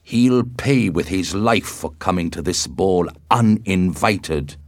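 A man voicing a proud, vengeful young nobleman in a storybook, indignant and deliberate. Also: deep voice, no echo, studio quality.

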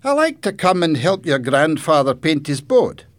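A man's voice: very animated, moderate pace. A man voicing a friendly, cheerful sixteen-year-old boy in a storybook, upbeat and emphatic. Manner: upbeat, high-pitched, emphatic.

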